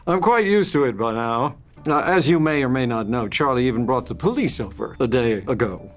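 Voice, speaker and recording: A man playing a suave, teasing vampire in a dramatic table read, courteous and smooth with hesitant pauses. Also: mid-range voice, expressive, soft background music, close to an everyday voice. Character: suave, mocking adult male vampire, elegant and teasing.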